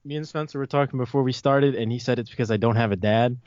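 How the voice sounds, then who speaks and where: A man of twenty talks as a guest on a casual podcast, blunt and informal. His pitch is average for a man. The sound is very dry and a touch dull. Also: fast talker, a little mellow, clean tone, no room sound. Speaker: podcast guest, video maker.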